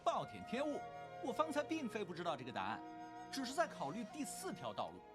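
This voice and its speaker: A man playing a cocky, clever teenage disciple in an animated drama, glib and bold. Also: high voice, quick pace. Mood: cocky, challenging. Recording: music behind dialogue.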